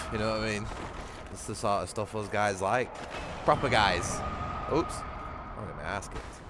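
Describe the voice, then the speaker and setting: A man's voice: fairly low pitch, background noise. A man with a Manchester accent chatting bluntly and swearing while streaming a game. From England. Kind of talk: casual livestream gaming chat.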